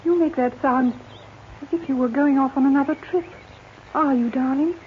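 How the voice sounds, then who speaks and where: Woman playing gentle wife, tinny old radio. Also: high-pitched, normal speed, natural voice. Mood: admiring, wistful. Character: gentle, admiring, devoted wife. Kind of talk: 1950s radio drama acting. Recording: tinny, noisy 1950s radio recording.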